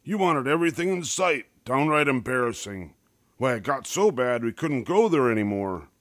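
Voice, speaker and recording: A man's voice: mid-range voice, very animated, moderate pace, no room sound, voice pushed up, lightly acted. An old grandfather bear , voiced by a man reminisces in a children's story. He is folksy and teasing, talks in short clipped phrases, and is recorded dry. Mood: teasing, nostalgic.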